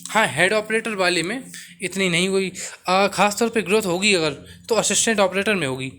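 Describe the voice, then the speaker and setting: High-voiced man explaining. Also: a little fast, bright, forceful tone. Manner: mixes English words into talk, repeats assurances. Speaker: exam coaching YouTuber. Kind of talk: informal explainer talk to viewers.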